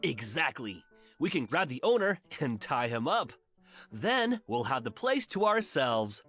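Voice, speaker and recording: A man's voice: medium pitch, big pitch swings, unhurried, fairly bright tone. Guy acting cocky mischievous young henchman, eager, voice jumps around, game story, music behind.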